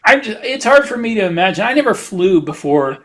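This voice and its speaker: A middle-aged man talks casually as a podcast co-host. His voice sits a little high for a man, and he pieces his sentences together haltingly, thinking aloud as he goes. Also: very animated. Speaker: podcast co-host, science fiction author. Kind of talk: casual chat.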